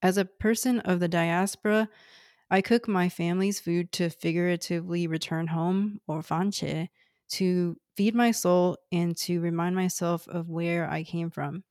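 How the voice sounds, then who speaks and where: A woman in her thirties from Houston, Texas, whose first language is Cantonese, introducing her podcast in a measured, earnest scripted reading. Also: on the low side, steady tone, a little mellow, no room sound, clean studio sound. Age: 30s. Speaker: podcast host.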